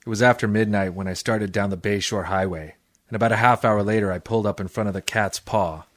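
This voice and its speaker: A man playing a hardboiled, cynical private detective in 1940s noir radio style, terse, tough and deadpan. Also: fairly low pitch, fast, dry recording, clean studio sound, close to an everyday voice. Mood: deadpan narration.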